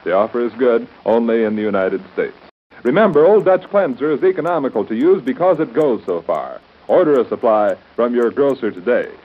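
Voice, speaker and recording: A male announcer from 1930s radio reads a commercial in a medium-pitched voice. He is polished and persuasive. The sound is narrow and muffled like an old broadcast. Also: expressive, fairly quick. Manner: polished, persuasive salesman delivery.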